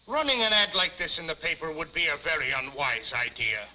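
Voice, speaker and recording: An American police chief blusters in vintage TV comedy style, with a high voice that swings emphatically up and down. He is hard-boiled and self-important yet courtly with ladies, on a dull 1950s soundtrack. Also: sing-song, brisk, bright, forceful tone, full character voice. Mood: indignant, firm. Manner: emphatic, officious, addresses woman formally as ma'am. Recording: muffled 1950s television soundtrack.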